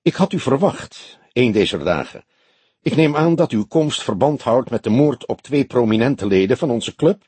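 Man reading as a genteel, composed older woman, lightly husky. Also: medium pitch, lively intonation, dry recording. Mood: composed, courteous. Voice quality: soft, friendly voice. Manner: measured, genteel, thoughtful. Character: older woman club member, composed, soft-spoken, frank. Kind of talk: audiobook character dialogue.

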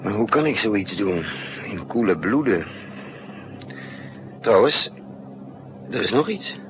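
A man's voice: lowish voice, lively intonation, takes it slowly. An actor playing a resourceful male spy in a 1960s Dutch radio drama, thinking aloud in hesitant, broken phrases. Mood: urgent planning. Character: resourceful male spy narrating his own risky mission. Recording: muffled, noisy 1960s radio recording.

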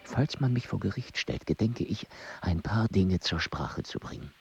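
A man's voice: low-pitched, lively intonation, normal speed, vocal fry, dull audio, over music. An actor voicing a brooding male mathematician, mostly in a whispered inner monologue that sounds bitter and proud, in a radio play. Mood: defiant musing. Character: brooding male mathematician weighing suicide by poison.

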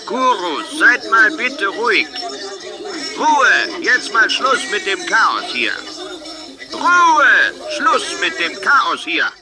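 A man's voice: high-pitched, sing-song, drawn-out words, over music, full character voice. A man playing a pompous, exasperated guru cult leader, declaiming a speech in an improvised comedy radio play. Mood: exasperated, proclaiming. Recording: noisy crowd behind homemade radio play.

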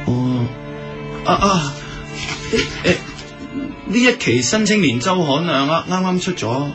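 Naive young suitor, high-pitched Hong Kong Cantonese, flustered and gushing, radio drama with clear music underneath. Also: male voice, animated, measured pace. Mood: sheepish, awkward. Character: lovesick, naive, excitable young silk shop owner. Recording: music behind the voice.

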